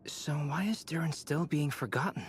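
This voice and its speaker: A man playing a calm young alchemist in a video game, measured, analytical and reassuring. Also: a little high, slight background noise, lightly acted. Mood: pensive. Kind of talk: video game drama acting. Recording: loud music behind the voice. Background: music.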